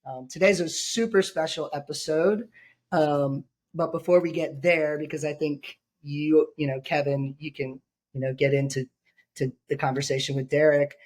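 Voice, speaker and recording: A man hosting a podcast, hoarse and raspy from being sick, talking in a rambling, joking way with many fillers. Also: fairly high pitch, steady tone, moderate pace. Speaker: podcast host. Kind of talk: casual podcast chat.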